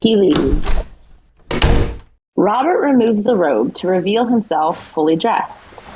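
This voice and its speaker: A woman's voice: medium pitch, lively intonation, slow pace, noisy background. A woman reading scripted stage directions as the narrator of an audio play.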